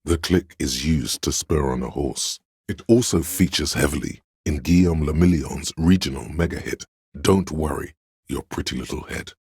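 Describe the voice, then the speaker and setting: A man voicing an inner encyclopedia for a video game, a grave, detached, erudite narrator who states facts in a measured, deliberate way. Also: a bass voice, darkish tone, husky, no room sound, clean studio sound, heavily acted. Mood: dry, informative.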